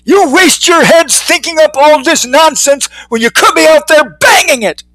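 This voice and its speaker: A man voicing a cranky, excitable old male fly who scolds slowly and shouts. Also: extremely high pitch, dry recording, pitched above the performer's own voice, full character voice, low-quality recording. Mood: scolding.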